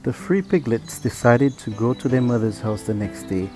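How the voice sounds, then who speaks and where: A father reads a children's bedtime story aloud, gentle and steady. His voice sits in a plain middle range. Faint music plays underneath. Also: mid-range voice, normal speed.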